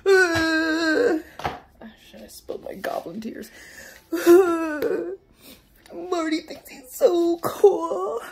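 Woman playing a squeaky whiny goblin, fake crying, drags every word out slow. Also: expressive, vocal fry, slight background noise, heavily acted. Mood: whiny sobbing. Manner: fake sobbing, whining and sniffling. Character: whiny sobbing self-pitying goblin creature. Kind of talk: comedic character monologue to camera.

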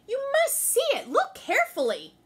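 A woman voicing a lazy, scheming male spider trickster who turns shrill and insistent in a storybook read-aloud. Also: wildly swooping pitch, normal speed, exaggerated voice. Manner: shrill, insistent, shouting when crossed.

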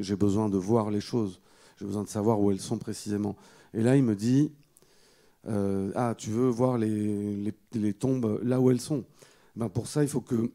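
Low, very mellow man, halting speech. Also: gravelly. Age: middle-aged. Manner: halting, hesitant delivery with repeated words. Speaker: guest speaker, photographer. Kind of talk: unscripted storytelling at a panel discussion. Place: indoor auditorium stage. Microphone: handheld microphone held close.

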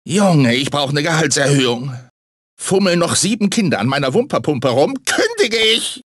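A manic talking fruit mascot from a cartoon video game. The voice is very high and swoops all over, theatrical and grumpy under a sugary sales pitch. The sound is dry and close. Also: male voice, big pitch swings, normal speed, a bit bright, dry recording. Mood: grumbling. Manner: theatrical, swinging between sugary salesman and irritable grumbler. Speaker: video game character actor.

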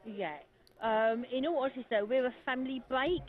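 A woman's voice: high voice, moderate pace, soft background music. A British woman working as a booking agent, explaining things politely and frankly in a customer service call. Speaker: holiday resort booking agent. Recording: tinny, narrow telephone line.

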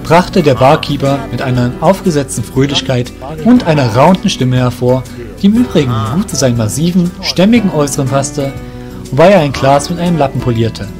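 A man's voice: mid-range voice, lively intonation, a little fast, music in the background. A man reading scripted narration for a fantasy audio drama.

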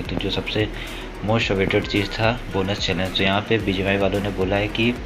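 A man with an Indian accent giving casual gaming news commentary, talking informally to his viewers like brothers. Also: deep voice. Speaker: gaming YouTuber. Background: music behind the voice.

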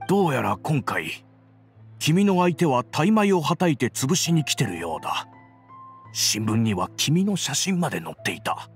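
A man voicing a wary businessman in an anime game, speaking in a formal, measured, wry manner. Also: mid-range voice, big pitch swings, soft background music. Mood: grave. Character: wry, wary businessman, long-time trading partner. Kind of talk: Japanese anime game voice acting.

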